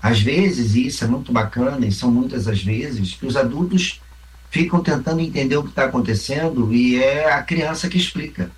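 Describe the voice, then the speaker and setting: A man with a Carioca Brazilian accent speaks with a reflective manner. He explains his ideas patiently and backs them with examples. His pitch and energy sit in an ordinary middle range. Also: normal speed, recorded from a distance, rough recording. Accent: Carioca Brazilian Portuguese. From Rio Grande do Sul, Brazil. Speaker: theatre company member, mime actor. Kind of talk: interview answers.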